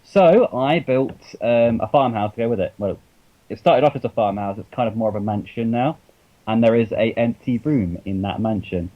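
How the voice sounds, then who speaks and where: Chatty man with halting restarts. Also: mid-range voice, muffled recording. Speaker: podcast co-host. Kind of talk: casual podcast chat.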